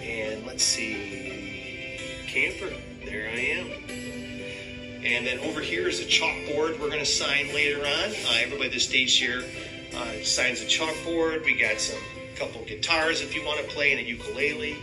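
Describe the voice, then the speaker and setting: A man's voice: on the high side, moderate pace, far from the mic. A man in his fifties talks like an enthusiastic amateur vlogger giving a review. His delivery is informal and conversational. He sounds a little way from the mic in an echoey room, with music playing behind him. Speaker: hiker and video reviewer. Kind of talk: casual vlog review. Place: indoors in living rooms of a house.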